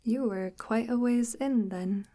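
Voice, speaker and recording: Casting: woman as coaxing forest witch for ASMR roleplay; mellow, breathy, near-whispered, very unhurried, formal, softly menacing. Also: a little high. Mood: probing, sly. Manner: unhurried, formal, archaic-tinged, gently menacing. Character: soft-spoken, sinister, coaxing forest witch luring a lost traveler. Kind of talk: ASMR audio roleplay, slow intimate monologue.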